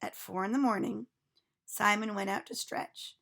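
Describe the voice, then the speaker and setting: A woman reading a children's storybook aloud with expressive narration. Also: mid-range voice, normal speed, vocal fry.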